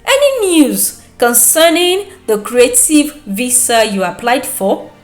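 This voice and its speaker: A woman's voice: a little high, very animated, slow, roomy sound, soft background music. A woman with a Nigerian accent voicing a conflicted young seamstress who protests firmly and emphatically. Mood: dismissive. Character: conflicted young seamstress, firm yet wavering friend.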